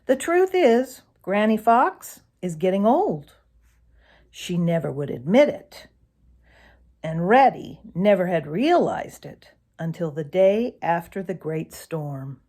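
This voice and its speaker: A woman narrates a children's storybook aloud. She takes her time over each phrase and moves her pitch up and down in a lively, expressive way. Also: slow pace.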